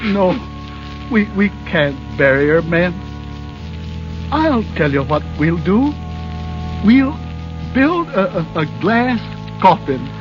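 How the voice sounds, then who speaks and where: High-voiced, flustered old dwarf leader. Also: male voice, sing-song, over music, exaggerated voice. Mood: sorrowful. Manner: halting, stammering, repeats words. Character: elderly flustered dwarf leader, earnest and tender. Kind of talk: 1930s radio acting. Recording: muffled vintage 1930s radio recording.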